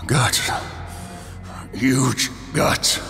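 A man playing a crazed, wounded warrior in a video game, raving in halting fragments with a gravelly voice. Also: medium pitch, steady tone. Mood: delirious rage. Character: crazed, wounded warrior obsessed with killing demons. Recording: echoing hall, music behind.

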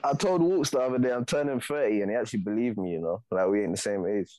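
A man's voice: fairly low pitch, quick pace, a little mellow. A man, co-hosting a podcast and chiming in with short, agreeable remarks. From United Kingdom. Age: 20s. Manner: brief, agreeable interjections. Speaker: podcast co-host. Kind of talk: casual chat. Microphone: studio mic, headphones.

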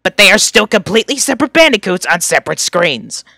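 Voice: mid-range voice, big pitch swings, quick pace, creaky, pushed and bright, dry recording, studio quality, exaggerated voice. A performer voicing an irritable, sarcastic young male troll from a webcomic, scornful and exasperated. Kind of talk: reading fan voice lines from a webcomic.

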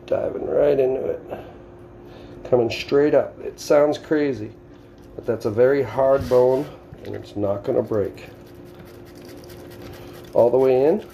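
Middle-aged man, Boston accent, mellow, casual how-to instructor, home kitchen with faint room noise. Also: medium pitch, a little slow, gravelly, long pauses, muffled recording. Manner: plainspoken, instructive, talking while working with his hands. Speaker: home cook demonstrating fish filleting. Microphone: camera on the counter.

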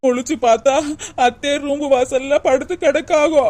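A woman's voice: high-pitched, steady tone, moderate pace, darkish tone, a little hiss or hum, close to an everyday voice. A woman playing a frightened, helpless young daughter-in-law in a cartoon drama, pleading melodramatically in a southern Tamil Nadu accent. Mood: fearful, tearful.

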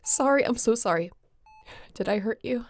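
A woman playing an earnest, emotional space captain in a sci-fi audio roleplay, tender and halting. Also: a little high, animated. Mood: relieved, worried. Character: woman space captain, tender, guilt-ridden, devoted to her crewmate.

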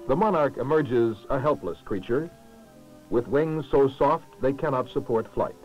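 A man reading scripted narration for a 1960s educational documentary, formal and measured, with clear enunciation. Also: medium pitch, animated, faint music behind. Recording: muffled lo-fi 1960s film soundtrack.

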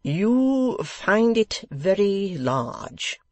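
Reader putting on a pompous english gent, loud and slow, talking down like to a deaf kid, pitched way up. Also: male voice, big pitch swings, dry recording, full character voice. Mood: condescending. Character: pompous condescending English gentleman host.

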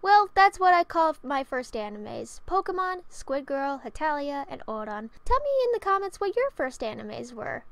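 A young adult woman narrating a storytime vlog as its creator, with an expressive, theatrical delivery. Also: high voice, normal speed, few pauses, no room sound.

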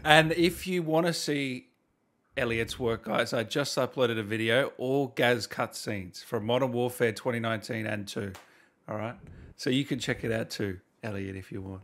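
A man's voice: mid-range voice, moderate pace, fairly bright tone. A man in his thirties hosting a casual interview over a video call on a desk microphone. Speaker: host. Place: indoor room, video call. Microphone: desk microphone on boom arm, headset.

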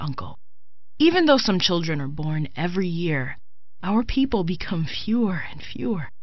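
Female narrator as young clan chief maiden: earnest, solemn, pleading, mid pitch, dry dull sound. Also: dry recording. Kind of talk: audiobook character reading.

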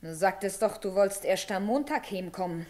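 A woman's voice: medium pitch, expressive, brisk, a little mellow, natural voice. Woman playing bitter snappish wife, 1960s radio drama, curt, scornful. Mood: bitter, grudging. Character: hard, resentful wife, former maid, cold to her child.

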